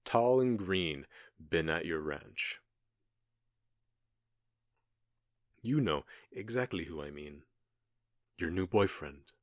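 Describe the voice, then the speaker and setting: Casting: male actor for menacing gang boss in ASMR roleplay, deep-voiced, taunting and falsely polite, very close dry recording. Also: dry recording. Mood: taunting, probing. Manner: mock-polite, taunting, deliberate pauses.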